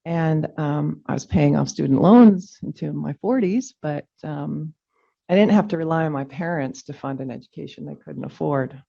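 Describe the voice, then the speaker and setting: A middle-aged woman, a Danish native speaker, giving a reflective, halting farewell speech as chair of a public meeting. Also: deep voice, vocal fry. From California. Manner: reflective, halting, with frequent ums. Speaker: commission chair. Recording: dull lo-fi meeting audio.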